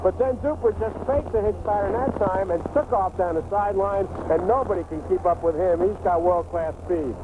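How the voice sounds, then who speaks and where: An American man offers football analysis between plays on an archival 1980s radio broadcast. His voice is high for a man. Loud noise fills the recording behind him. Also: normal speed, few pauses. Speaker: radio color commentator.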